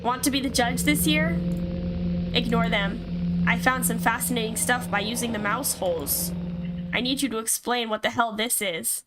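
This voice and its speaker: High-pitched woman streaming a game. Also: normal speed, heavy background noise. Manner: reacts aloud, swears casually. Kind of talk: reading visual novel text aloud with casual live commentary. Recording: music behind the voice. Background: game music.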